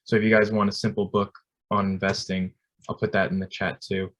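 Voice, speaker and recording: A man's voice: sits low, flat delivery, fairly quick, fairly bright tone. A young man in his twenties, a graduate student introducing himself on a workshop panel over a video call, casual and friendly with frequent fillers.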